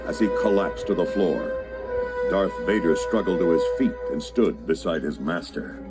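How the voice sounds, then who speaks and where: Guy narrating an adventure storybook, lowish voice, scripted n dramatic, loud music behind. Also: normal speed.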